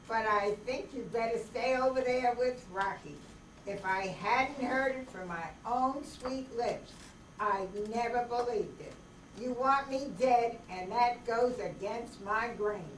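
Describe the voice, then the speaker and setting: A woman playing a slow-witted, courtly, aggrieved husband with a folksy, plaintive manner in an amateur radio play. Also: a little high, natural voice. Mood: aggrieved, sorry. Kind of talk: amateur old-time radio play acting. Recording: echoey, distant, noisy room recording.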